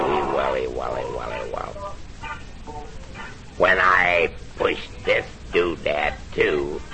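A mischievous little gremlin frog with a deep, gravelly croak that swoops wildly up and down. He drawls slowly and keeps repeating himself. The old broadcast recording under him hisses loudly. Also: heavily acted, poor audio. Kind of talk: vintage children's radio comedy.

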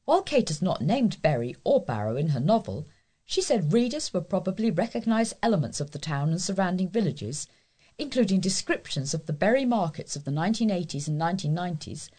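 British woman reading news, lively and slightly husky. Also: on the low side, fairly quick. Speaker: volunteer newsreader. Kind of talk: scripted local news reading.

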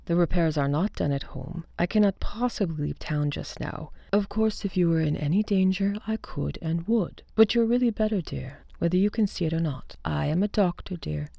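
A woman reading the lines of a calm, condescending husband who is a physician, soothing and dismissive in tone. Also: fairly low pitch, moderate pace, gravelly, few pauses, no room sound, studio quality. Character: calm, patronizing husband physician, adult man.

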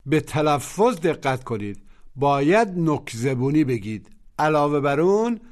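A man's voice: on the high side, sing-song, very fast, darkish tone. A man giving short prompts and pronunciation tips in a recorded language lesson. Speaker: language teacher.

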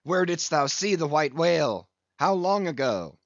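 A man voicing a gruff, obsessed old whaling captain who growls in archaic seafaring speech. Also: a little high, expressive, measured pace, no echo, lo-fi sound, pitched above the performer's own voice.

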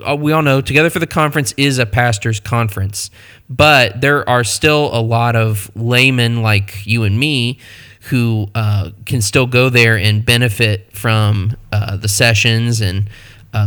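A man's voice: medium pitch, pushed and bright, clear, resonant tone. A man from Ohio with a Midwestern American accent, co-hosting a casual podcast chat with upbeat, jokey asides. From Ohio, USA. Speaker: podcast co-host.

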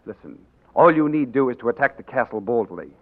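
An actor playing a sly, scheming courtier villain in a 1940s radio drama, smooth and mocking in manner. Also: male voice, mid-range voice, animated, a little fast, natural voice. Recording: tinny 1940s radio broadcast.

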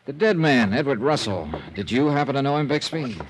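An actor playing a dry, probing insurance investigator who also narrates, clipped and wry, in 1950s radio drama style. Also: male voice, medium pitch, expressive, brisk, background noise, lightly acted. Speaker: series lead and narrator.

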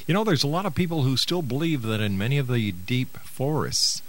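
A man's voice: medium pitch, brisk. An older man, hosting a live talk radio show in an announcer's style.

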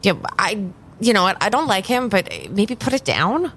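An actress playing a jaded, reluctant tarot reader in a naturalistic improvised audio drama, hesitant and exasperated. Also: female voice, medium pitch, very animated, moderate pace, a bit bright, a little hiss or hum. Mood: uneasy, explaining. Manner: hesitant, sighing, muttering.

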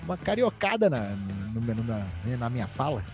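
A man's voice: mid-range voice, very animated, moderate pace, faint music behind. A man from southern Brazil hosting a podcast, chatting casually about football with playful, joking banter. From Rio Grande do Sul, Brazil. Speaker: podcast host.

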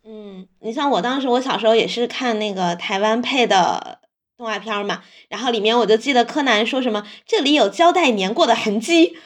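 A woman's voice: on the high side, a little fast. A woman with a Mainland Mandarin accent hosting a podcast in casual chat, informal and anecdotal in the way she talks. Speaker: podcast host.

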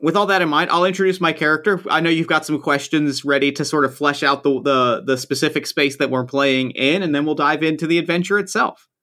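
A man hosts a tabletop game podcast in an eager, gushing way. He keeps saying how excited he is, and his voice sits a little higher than most men's. His talk is easy and relaxed. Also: fairly quick. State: has a cold, losing his voice. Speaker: podcast host. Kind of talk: casual chat.

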